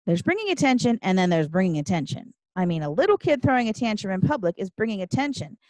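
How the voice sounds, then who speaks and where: A woman's voice: medium pitch, big pitch swings, fast, darkish tone, dry recording, natural voice. A woman voicing an earnest, opinionated seventh-grade girl in an audiobook, speaking emphatically and righteously. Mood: exasperated. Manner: righteous, emphatic, formal wording. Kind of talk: audiobook character dialogue.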